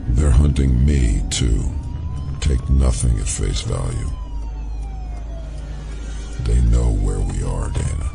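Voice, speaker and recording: A man's voice: a bass voice, wildly swooping pitch, takes it slowly, gravelly, pauses often, over music, full character voice. An actor voicing an ancient alien consciousness in a man's body, ominous, knowing, solemn and formal, in an audio drama. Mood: urgent warning.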